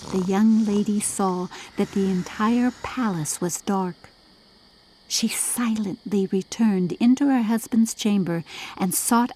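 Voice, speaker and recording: Slow, dramatic woman audiobook narrator. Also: medium pitch, darkish tone, a little hiss or hum, rough recording. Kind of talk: scripted storybook narration.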